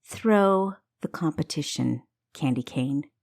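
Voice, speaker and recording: A woman voicing a serious, composed female confidante with a calm, knowing manner in a story. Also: deep voice, takes it slowly, gravelly, full character voice. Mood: pointed.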